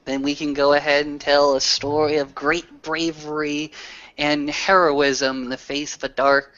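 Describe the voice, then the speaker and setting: A man's voice: on the high side, flat delivery, unhurried, a bit bright, muffled recording. A man playing an earnest, grandiloquent werewolf storyteller with a formal, oratorical delivery in a tabletop roleplay. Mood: earnest, rallying. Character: grandiloquent male werewolf storyteller, earnest, rallying his pack.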